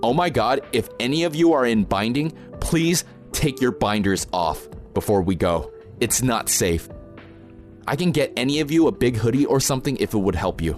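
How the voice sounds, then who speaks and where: A man's voice: mid-range voice, animated, moderate pace, faint music behind, natural voice. A man playing an experienced, protective protest organizer in an audio drama, plain-spoken, informal and matter-of-fact. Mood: practical, cautionary. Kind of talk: naturalistic audio drama acting.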